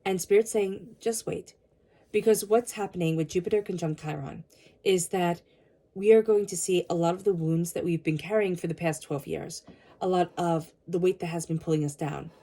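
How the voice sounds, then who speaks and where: A woman with a cold giving a tarot reading, speaking earnestly and slowly repeating her invocations. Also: fairly low pitch, fairly even intonation, dark tone. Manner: soothing guided meditation. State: has a cold. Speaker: tarot reader.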